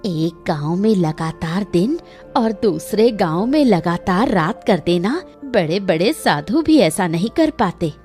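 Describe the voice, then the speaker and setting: Woman as scheming old cartoon villainess, bitter, dramatic, lowish pitch, very animated, faint music behind. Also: a little slow. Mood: gloating. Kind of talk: animated moral story dialogue.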